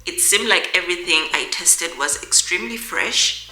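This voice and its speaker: Bright-voiced woman vlogger. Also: on the low side, animated, moderate pace, roomy sound, faint music behind, rough recording. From Uganda. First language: Luganda. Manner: chatty, addresses viewers directly, reads an email aloud. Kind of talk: casual vlog chat to camera.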